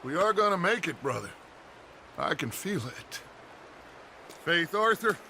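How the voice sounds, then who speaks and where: A man's voice: a little high, big pitch swings, a little slow, creaky, sharp tone, a little hiss or hum, heavily acted. A man playing an exuberant outlaw gang leader who preaches faith, in dramatic video game acting. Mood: fervent urging.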